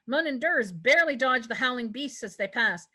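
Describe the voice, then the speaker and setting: A woman with a fairly high voice reads stage directions for a table read. It is scripted narration, with the occasional stumble over a word. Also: expressive, moderate pace, bright, forceful tone.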